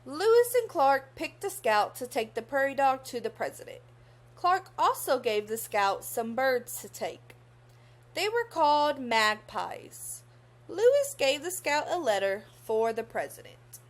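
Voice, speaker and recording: A woman's voice: high-pitched, sing-song. A young woman reading a children's story aloud expressively, in a teacherly manner. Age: young adult. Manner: teacherly, pauses to pose questions to young listeners.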